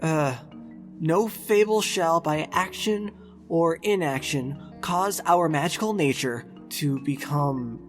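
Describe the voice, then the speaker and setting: A woman's voice: deep voice, slow, soft background music. A woman playing a polite, earnest boy clerk in an audio drama, deferential and careful. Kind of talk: fan-made audio drama.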